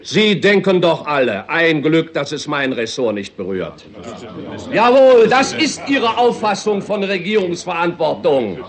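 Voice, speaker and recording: An actor playing a blunt, ruthless finance minister in a 1960s radio drama, speaking in sarcastic, emphatic oratory. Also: male voice, a little high, very animated, measured pace. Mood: indignant lecturing.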